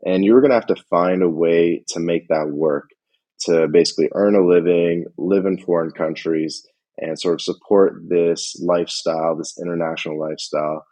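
A man hosting a casual podcast interview, thinking aloud in a measured way as he frames his questions. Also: sits low, fairly even intonation, dark tone.

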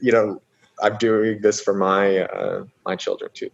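A man's voice: on the low side, level tone, unhurried, highs cut off. A man raised in the United States, talking casually as an interview guest, rambling with many fillers and restarts.

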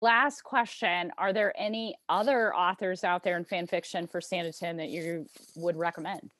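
A woman's voice: fairly low pitch, fairly even intonation, moderate pace, fairly bright tone. A woman hosting a podcast interview, putting questions to her guest and wrapping up.

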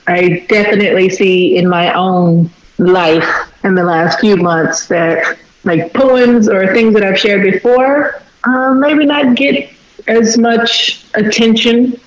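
A woman's voice: medium pitch, fairly even intonation, echoey room, dull audio. Texan woman poet, reflective. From Texas. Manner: reflective, unhurried, with filler pauses. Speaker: poet and guest. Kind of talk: radio interview conversation.

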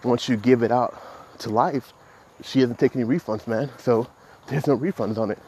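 A man in his thirties hosting a solo podcast, talking reflectively about his goals in a halting way with many restarts. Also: mid-range voice, warm tone, a little hiss or hum, rough recording. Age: 30s. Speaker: podcast host. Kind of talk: solo motivational podcast monologue.

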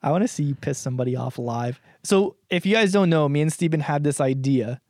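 A young man from Canada co-hosting a casual podcast, his voice sitting slightly high. He talks loosely, swears freely and laughs often. The sound is dry and close, with no room in it. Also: a little fast, a little mellow, no room sound. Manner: laid-back, swears a lot, laughs often. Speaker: podcast co-host. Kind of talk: casual chat.

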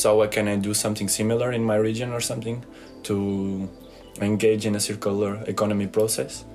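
A man's voice: fairly low pitch, darkish tone. A young man from Portugal with a Portuguese accent, leading a casual group interview and hesitating often with fillers. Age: young adult. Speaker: student interviewer.

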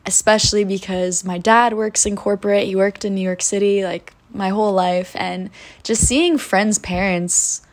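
A young woman in her twenties talks into her podcast mic in a soft, reflective way. She speaks with earnest warmth and spiritual phrasing, her voice sitting in a middle register. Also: medium pitch, fairly even intonation, moderate pace. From United States. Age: 20s. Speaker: podcast host. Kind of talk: personal storytelling monologue.

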